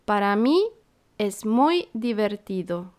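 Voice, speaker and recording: A woman from Santiago, a teacher co-hosting a slow, scripted beginner lesson with clear, deliberate phrasing. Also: medium pitch, very animated, a little mellow. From Santiago, Chile. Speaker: podcast co-host, Spanish teacher.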